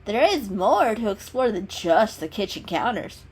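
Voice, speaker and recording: A woman's voice: mid-range voice, sing-song, a little slow, breathy edge. A woman voicing a teasing, mischievous gray male cat in a storybook, with a casual, cheeky manner. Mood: frank.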